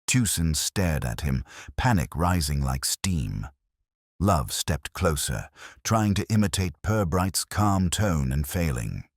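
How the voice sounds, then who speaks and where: A man reading scripted detective-story narration in a husky voice. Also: rumbling low, very animated, slow pace, dry recording, studio quality.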